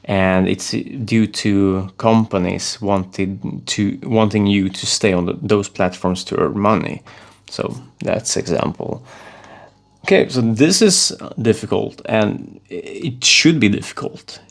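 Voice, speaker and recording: Swedish man, 30s, low gravelly voice, earnest self-help vlogger who restarts his phrases. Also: slow pace. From Sweden. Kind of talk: speaking to camera, coaching.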